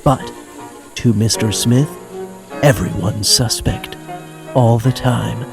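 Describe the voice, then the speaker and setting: A man narrating a scripted comedic audio drama in a measured, wry way. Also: mid-range voice, steady tone, creaky, music in the background.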